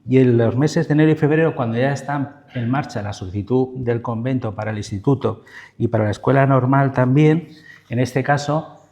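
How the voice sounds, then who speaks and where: A man's voice: mid-range voice, fairly quick, a little mellow. A man with an accent from Spain giving a history lecture as a professor, in a discursive, semi-improvised way with asides and checking tags. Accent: Spain Spanish.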